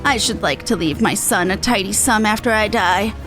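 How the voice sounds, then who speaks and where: A woman's voice: medium pitch, normal speed, over music, natural voice, low-quality recording. A woman voicing a fretful, genteel elderly widow from a game, reading the dialogue with prim, old-fashioned phrasing. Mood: wistful, worried.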